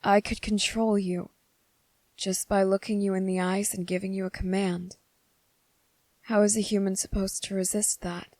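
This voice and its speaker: A woman voicing a possessive, lovesick male vampire in an intimate ASMR roleplay, speaking tenderly and haltingly, with coaxing deliberation. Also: mid-range voice, little pitch movement, darkish tone, no room sound, lightly acted. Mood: devoted, quietly intense. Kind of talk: ASMR character roleplay, intimate scripted acting.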